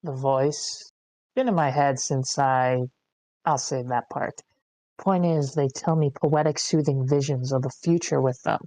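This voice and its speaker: A man playing an infected widower in a screenplay table read, dreamy and hopeful, with a halting delivery. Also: mid-range voice, a little mellow, lightly acted, low-quality recording. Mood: wistful. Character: infected widower, dreamy, clinging to hope, weakening.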